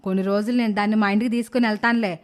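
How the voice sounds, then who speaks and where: A woman playing a blunt, nagging old mother-in-law in an animated moral story. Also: mid-range voice, racing, natural voice. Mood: grudging.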